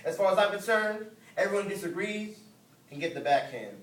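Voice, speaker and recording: Fast high-voiced man performing slam poetry. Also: expressive. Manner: rhythmic, rhyming, emphatic delivery. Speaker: spoken word poet. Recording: roomy, distant mic, faint noise.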